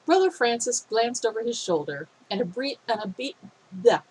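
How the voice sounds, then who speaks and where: A woman reading a fan fiction story aloud in a steady, expressive way, her voice a little phlegmy. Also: on the high side, moderate pace, husky, low-quality recording.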